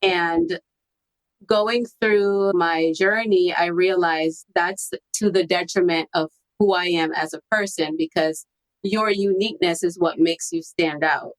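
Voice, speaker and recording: A woman with a slightly low voice speaks as an interview guest. She tells personal stories about herself in a candid, reflective manner. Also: fairly even intonation, a little slow, poor audio. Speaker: podcast guest, copywriting strategist.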